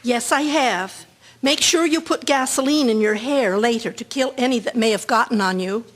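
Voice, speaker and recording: A woman acting a brisk, seasoned army hospital matron in a radio drama, matter-of-fact and instructive. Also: fairly high pitch, natural voice.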